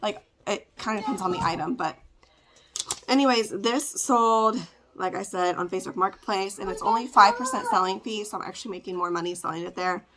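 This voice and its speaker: Congested, raspy woman chatting casually. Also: medium pitch, very animated, brisk, a crowd in the background. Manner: chatty, rambling, lots of "like" and "um". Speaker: part-time online reseller. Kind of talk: casual ship-with-me vlog chat.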